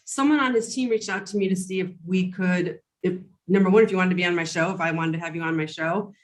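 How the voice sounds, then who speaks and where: Woman, podcast host, eager and chatty, lower register, video-call interview. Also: fast talker. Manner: bubbly, enthusiastic, rambling with fillers. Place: Chicago.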